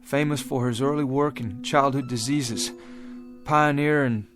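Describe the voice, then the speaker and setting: An actor playing an earnest, bewildered frontier father from the past in a radio drama, speaking in a halting, plain-spoken folksy way. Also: medium pitch, fairly even intonation, lightly acted. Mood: stunned, halting. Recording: music under the voice.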